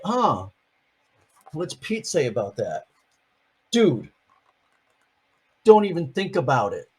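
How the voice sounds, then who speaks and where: A man's voice: fairly high pitch, breathy edge. An older man, a grandfather, reading a picture book aloud to his grandchildren and chatting about each page. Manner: chatty, explains each page in plain words.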